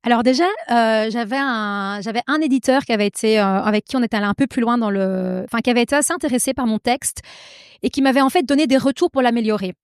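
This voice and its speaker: Une femme belge à l'belge, autrice invitée, qui parle de façon hésitante avec beaucoup de « euh » et de « en fait ». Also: female voice, a little high, fast talker, clear, resonant tone, dry recording, clean studio sound. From Belgium. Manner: hesitant, many fillers and restarts. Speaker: guest author. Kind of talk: casual interview conversation.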